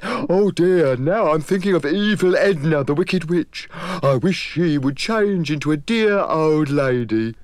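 A man's voice: on the high side, lively intonation, a little slow, few pauses, no room sound, clean studio sound, pitched above the performer's own voice. A man voicing a cheerful, simple-minded male creature in a storybook reading, hesitant and stammering with fillers. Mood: eager. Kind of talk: storybook character voice.

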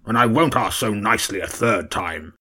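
A man voicing a grumpy male badger king with a deep, gravelly, menacing voice in a storybook reading. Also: normal speed, pushed and bright. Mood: gruff. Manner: menacing, suspicious.

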